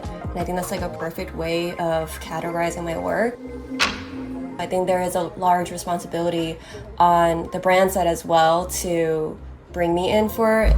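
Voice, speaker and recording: A woman's voice: lowish voice, creaky. A young woman filmmaker from South Korea, talking casually about her work with frequent "like" fillers and light laughs. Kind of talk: reflective interview talk. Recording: voice over loud music bed.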